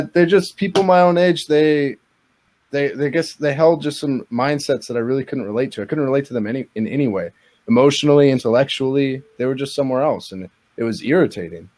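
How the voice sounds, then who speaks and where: Man aged twenty, medium pitch, earnest, rambling, reflective, casual chat. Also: a little mellow.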